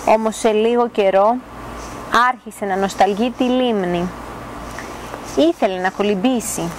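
A young woman reads a children's story in an expressive storytelling style, at a medium pitch. She is recorded outdoors, with some background noise around her. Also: poor audio. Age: young adult. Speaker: storyteller reading a children's book. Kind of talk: expressive fairy tale narration. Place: outdoors in a garden.

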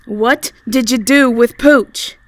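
Unhurried sweet Southern belle, played by a woman. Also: on the high side, no echo. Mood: frightened. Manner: folksy, gentle, hesitant. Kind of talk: fantasy audio roleplay acting.

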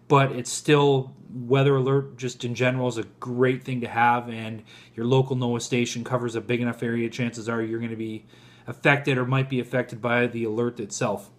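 A man's voice: medium pitch, little pitch movement, normal speed. A man in his forties talking informally to viewers in a casual product review, recorded in a home kitchen on a camera at arm's length. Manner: informal, addresses viewers as guys.

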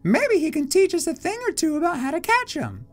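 A man's voice: very high voice, huge pitch swings, a little fast, faint music behind, pitched above the performer's own voice, full character voice. A man voicing a naive, chatty, curious little kid in a comic manga reading.

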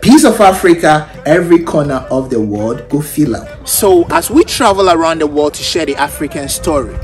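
Upbeat male travel host from Ghana, high voice, laughs easily, music bed behind. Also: lively intonation, moderate pace. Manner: cheerful, laughs often, promo-style outro. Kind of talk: upbeat travel vlog presenting. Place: kitchens and city streets, in and out of doors.

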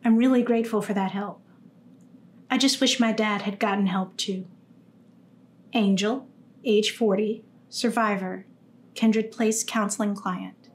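A woman's voice: medium pitch, steady tone, measured pace, long pauses, slight background noise. A young woman reading a first-person testimonial monologue aloud. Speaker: reader of a survivor's story. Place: indoors against a dark backdrop.

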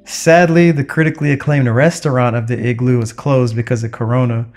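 A man's voice: medium pitch, steady tone, a little fast, a little mellow. A young adult man, a travel vlogger, narrating casually in an upbeat, conversational way. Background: music.

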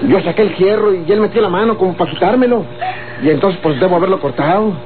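An actor playing a young rural Mexican grandson, evasive and placating, in a folksy, coaxing radio soap style. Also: male voice, sits high, fast talker, lightly acted. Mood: flustered, evasive. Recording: old tinny, noisy radio recording.

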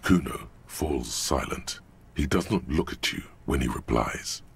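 A man voicing a grave, brooding narrator in a game, reading in a measured, literary way. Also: lively intonation, heavily acted. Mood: grave, watchful. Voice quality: very deep, gravelly. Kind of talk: scripted second-person game narration.

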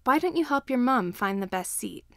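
Soft-spoken male daycare teacher, read by a woman. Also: on the high side, expressive, moderate pace, no room sound, studio quality. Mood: calm.

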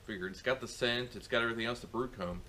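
A man's voice: medium pitch, quick pace, pushed and bright, slight background noise. A middle-aged man giving a casual outdoor how-to as a beekeeper, rambling with many fillers and restarts, recorded on a camera mic in a farmyard.

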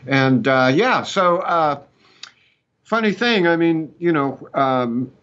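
A man's voice: fairly high pitch, slow pace, dull audio. Chatty man in his sixties on a video call. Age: 60s. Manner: animated, chatty storyteller, laughs often. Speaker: musician and co-host. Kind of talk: casual conversation on a video call. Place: home room with bookshelves. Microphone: headset on a video call.

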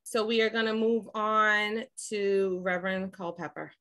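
A woman moderates an online candidates' forum from a small room at home. She speaks in a polite, measured way at a middle pitch. She keeps things moving and asks people to mute. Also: flat delivery, sharp tone. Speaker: host and moderator. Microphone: video call.

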